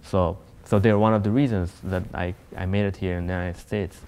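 A young man from Afghanistan speaking in an interview, earnest and halting, often restarting his sentences. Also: low voice, fairly even intonation, darkish tone, slight background noise. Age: young adult. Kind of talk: sit-down interview. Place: empty concert hall stage.

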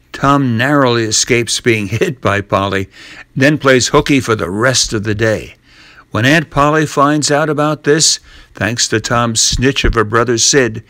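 A man's voice: on the low side, a bit bright, dry recording. Older man narrating, very dry recording. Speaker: storyteller. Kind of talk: scripted story narration.

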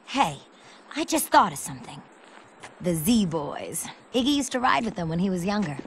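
Wry, animated streetwise young woman. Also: fairly low pitch, normal speed, slight background noise, low-quality recording. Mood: helpful. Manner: wry, casual. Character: streetwise, helpful young woman skater friend. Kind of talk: video game cutscene acting.

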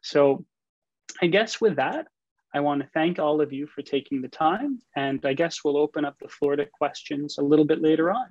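A man's voice: fairly high pitch, moderate pace, a little mellow, no room sound. A man giving a prepared talk as a guest speaker, earnest and leaning on "you know", over a video call. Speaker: guest speaker, software engineer. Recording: dull dry call audio.

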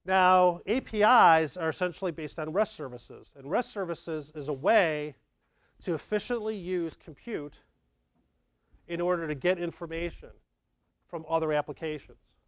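A man's voice: fairly high pitch, measured pace, no echo. A middle-aged man giving a conversational tech talk at a meetup, acting out small scenes for his audience. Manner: lively, conversational, acts out examples. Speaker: tech presenter. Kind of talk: meetup slide presentation. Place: dark meeting room with projector.

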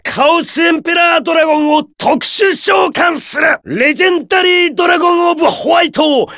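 An actor playing an arrogant, domineering young card duelist in an anime video game, declaiming imperious battle commands theatrically. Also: male voice, very high-pitched, fairly quick, bright, forceful tone, no room sound, raised voice, studio quality, heavily acted. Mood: proud, grandiose.

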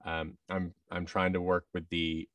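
An American man talks casually as an interview guest, in a low voice. His phrasing is halting and often restarts. The sound is dry, though he sits a little away from the microphone. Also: monotone, a bit bright, no echo, distant mic. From United States. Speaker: guest, voice actor and audio drama producer. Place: large office room, indoors. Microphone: headset with boom-arm microphone on a video call.

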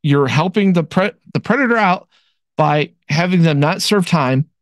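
A man's voice: on the high side, lively intonation, moderate pace, dry recording, clean studio sound. A man co-hosting a show, bluntly mocking what he watches, on a headset mic at home. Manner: blunt, mocking, does silly impressions. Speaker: co-host reacting to a video. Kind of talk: casual chat.

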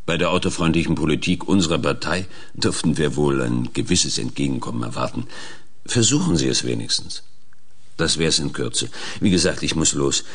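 A brusque, scheming political operator for a radio play. His voice is extremely low and husky, and it moves up and down freely as he gives curt orders in a hurry. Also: lively intonation, moderate pace, a bit bright, full character voice. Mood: brisk, impatient. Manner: curt, commanding. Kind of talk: German radio play acting.

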